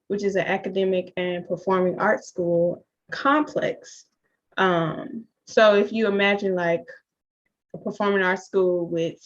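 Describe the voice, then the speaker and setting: A woman from Mississippi, the school's owner hosting a live online open house in a chatty, upbeat way with lots of "um" and "like.". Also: mid-range voice. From Jackson, Mississippi, USA. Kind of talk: casual live presentation. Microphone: video call. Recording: slightly dull lo-fi call audio.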